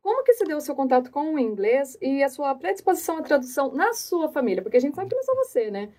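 A woman's voice: high voice, big pitch swings, quick pace, dark tone. A woman opening an interview with friendly, inviting questions to her guest.

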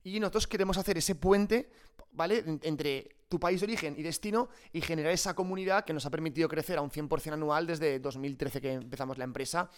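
Higher-pitched Spanish man, informal storyteller. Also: a little fast, clear, resonant tone. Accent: Peninsular Spanish. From Spain. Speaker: podcast guest, startup co-founder. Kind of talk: improvised conversational interview.

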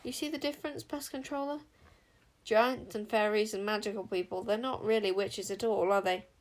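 A woman voicing a proud, imperious warrior queen in an audiobook, brisk, haughty and commanding. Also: mid-range voice, fairly even intonation, natural voice, rough recording.

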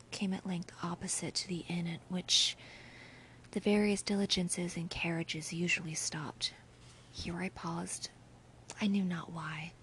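A woman reading a classic novel as an audiobook in a breathy, husky, often whispery voice, with hushed, theatrical and deliberate phrasing. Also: fairly low pitch, slight background noise. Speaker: audiobook narrator. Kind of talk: dramatic scripted narration of a classic novel.

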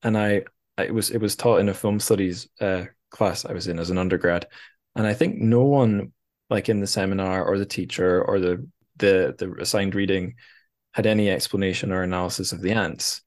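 A man's voice: sits low, steady tone, brisk. A man hosting a casual interview podcast, musing aloud with hesitant, tentative phrasing. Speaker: podcast host.

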